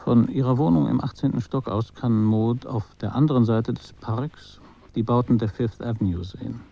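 An actor narrating a German radio drama as a detached, quietly observant storyteller, measured and understated. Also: male voice, mid-range voice, creaky, lo-fi sound.

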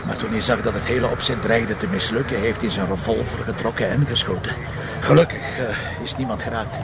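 Flemish police boss, gravelly guy acting it, impatient and dry, traffic noise around him, audio drama. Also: mid-range voice, fairly quick, close to an everyday voice. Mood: relieved, explaining. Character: brisk, wry, impatient police commissioner. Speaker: police commissioner.

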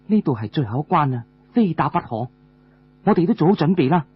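A man voicing a bold, defiant young warrior woman in an audiobook, brisk and commanding. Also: fairly high pitch, very animated, husky, highs cut off, faint music behind, full character voice.

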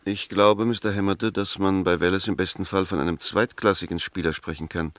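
A man's voice: deep voice, fairly even intonation, a little fast, fairly bright tone, dry recording. A man acting in a 1960s German radio play as a firm English defence lawyer, arguing formally, methodically and with emphasis. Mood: corrective, reasoned.

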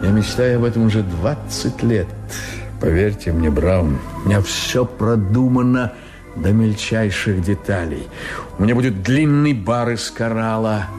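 A man's voice: fairly low pitch, unhurried, lightly acted. An actor playing a chummy, scheming male adventurer with a persuasive, emphatic way of talking in a radio drama. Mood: dreamy. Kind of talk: Soviet radio drama acting. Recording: Soviet radio play with music underneath.

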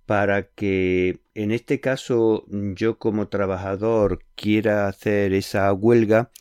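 Male radio host, deep-ish and dry, patient explainer who leans on examples. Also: fairly even intonation, slow pace, a little mellow, smooth, no room sound. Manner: earnest, explanatory, uses concrete examples. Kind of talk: conversational radio interview.

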